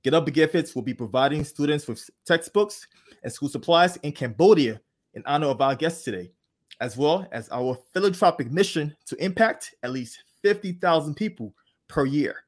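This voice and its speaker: A man's voice: fairly high pitch, lively intonation, normal speed. A man hosting a podcast, introducing a guest and asking questions in an upbeat, enthusiastic way. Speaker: podcast host.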